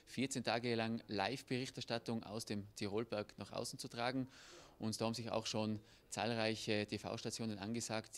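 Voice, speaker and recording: A man in his thirties explaining a media center in a brisk interview answer. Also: on the low side.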